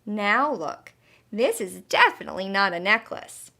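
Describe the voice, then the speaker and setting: A woman's voice: medium pitch, animated, measured pace, creaky, pushed and bright, full character voice. A woman voicing a kindly, patient female butterfly who teaches in an encouraging, emphatic way in a children's storybook. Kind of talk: children's storybook read-aloud.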